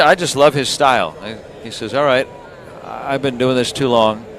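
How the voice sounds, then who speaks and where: An American man doing live radio baseball play-by-play, talking conversationally and pausing between pitch calls. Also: noisy background. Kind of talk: live radio sports commentary.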